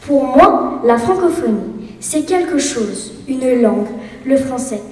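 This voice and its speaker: An eleven-year-old girl giving an earnest, measured speech as a student contestant. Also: sits high, lively intonation, slight background noise. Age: child of 11. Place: school hall. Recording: echoey hall, voice from far off.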